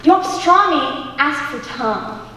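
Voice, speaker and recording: Teen actress playing a breezy, high-voiced American woman. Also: animated, unhurried, pushed and bright, exaggerated voice. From United States. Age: teens. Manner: laid-back, reassuring, glib. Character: breezy, unflappable young woman, cheerfully philosophical. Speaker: student stage actor. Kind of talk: one-act stage comedy acting. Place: school auditorium stage. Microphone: distant camera microphone. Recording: echoey auditorium recorded from far off, hiss.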